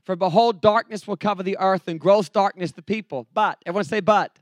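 A man's voice: high voice, brisk, dry recording, studio quality. A man from England with an English accent, preaching a sermon through personal testimony in an earnest storytelling way with joking asides. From England, later Texas. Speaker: preacher, evangelist.

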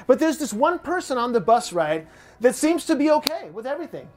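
A middle-aged American pastor preaching a Sunday sermon in a church, conversational and repetitive in phrasing. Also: male voice, very high voice, big pitch swings, a little fast. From United States. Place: church sanctuary.

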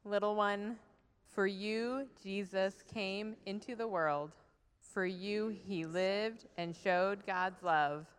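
Woman liturgist, lower voice, very slow and clear, deliberate liturgy in a reverberant church. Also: fairly even intonation. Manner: slow, measured, deliberate reading. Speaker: worship leader. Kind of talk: liturgical reading. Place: church sanctuary. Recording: long church hall reverb.

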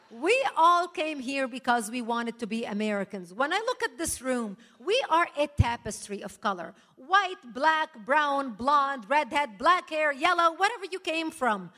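A woman's voice: high voice, big pitch swings, unhurried. A woman for whom English is not her first language gives an impassioned, emphatic speech at a political rally, breaking into laughs now and then. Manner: forceful, emphatic, punctuated with laughs. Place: large hall before a live audience. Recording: echoey hall, long reverb.

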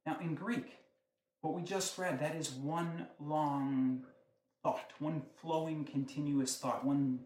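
Man, pastor, fairly high voice, conversational sermon, rhetorical questions, echoey church room. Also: steady tone, takes it slowly, darkish tone, a little hiss or hum.

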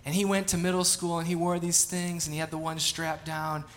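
Bright-voiced pastor in his thirties. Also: a little high, level tone, fairly quick. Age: 30s. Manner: casual, chatty, self-deprecating storytelling. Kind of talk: sermon with scripture reading and prayer. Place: church sanctuary.

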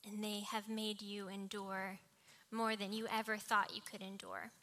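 A woman's voice: medium pitch, animated, normal speed, pushed and bright. A young woman preaching a sermon with personal anecdotes, in a small, cartoonish voice. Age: young adult. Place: live talk before a congregation.

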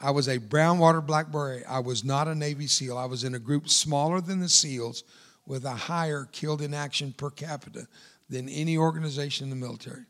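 A man's voice: fairly high pitch, fairly quick, a bit bright. An older man, a veteran, preaching to a church congregation with emphatic, repeated phrases. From United States. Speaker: guest speaker, veteran. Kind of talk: sermon.

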